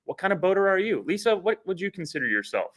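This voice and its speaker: A man's voice: high-pitched, very animated, quick pace, fairly bright tone. A man co-hosting a casual boating broadcast, upbeat and salesy, with lots of "uh" fillers. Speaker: co-host. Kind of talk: casual promotional chat.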